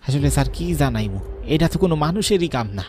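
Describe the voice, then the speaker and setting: A man's voice: fairly high pitch, sing-song, quick pace, darkish tone, slight background noise. Man acting a superstitious villager, rural Bengali accent. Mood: cautioning. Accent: rural colloquial Bengali. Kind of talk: Bengali horror cartoon acting.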